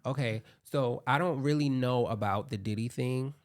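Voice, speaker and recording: A man with a light voice co-hosting a podcast, chatting casually and telling stories in an animated, rambling way with frequent restarts. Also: medium pitch, moderate pace. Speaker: podcast co-host. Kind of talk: casual chat and storytelling.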